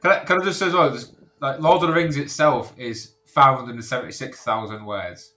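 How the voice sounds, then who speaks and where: Man, bright sharp voice, sweary casual banter, podcast panellist, roomy echo. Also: medium pitch, a little fast. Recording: echoey room sound.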